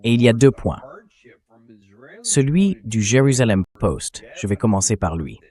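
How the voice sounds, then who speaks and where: A man hosting a political talk show, explaining his argument in a measured way as a synthetic dubbed read. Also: on the low side, expressive, dark tone. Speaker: host.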